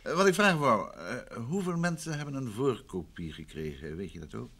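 A man's voice: mid-range voice, very animated, moderate pace, fairly bright tone, husky, heavily acted. An actor playing a courteous, inquisitive male detective in an old Dutch radio drama, asking probing questions in a conversational way.

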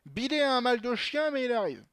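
Young man, gameplay commentary, chatty, cursing, animated pitch, dry close sound. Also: fairly quick, smooth, no echo. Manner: chatty, swears a lot, talks to himself and his pet. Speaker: gaming channel host.

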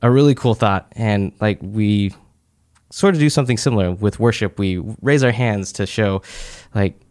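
A young man with a fairly low, smooth and clear voice talks through a Bible teaching in a relaxed, chatty way, full of "uh" and "like." He sits at a studio mic on a boom arm in a small office room. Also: normal speed. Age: young adult. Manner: relaxed, conversational, many "uh" and "like" fillers. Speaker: church teaching podcast speaker. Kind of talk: casual Bible teaching talk.

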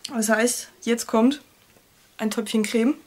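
A woman's voice: a little high, fairly even intonation, slow, darkish tone. Young woman, stuffy with a cold, chatty, self-deprecating, small bedroom, webcam sound. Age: young adult. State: has a cold. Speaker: vlogger. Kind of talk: casual make-up vlog chat. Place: small cluttered bedroom, indoors. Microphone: webcam at desk.

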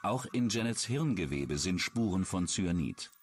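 A man narrating a true-crime documentary in a measured, suspenseful way from a script. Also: sits low, animated. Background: background music.

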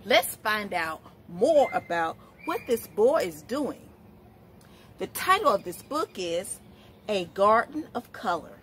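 A middle-aged woman reading a picture book aloud to young children, expressive and asking questions as she goes. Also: medium pitch, takes it slowly, slight background noise. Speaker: storytime host, literacy educator. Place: outdoors, in front of leafy shrubs.

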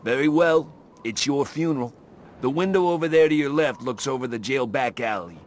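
A man's voice: mid-range voice, moderate pace, a little hiss or hum, close to an everyday voice. A man playing a smug government agent in a video game, talking in a wry, sardonic way. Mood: resigned. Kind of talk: game cutscene acting.

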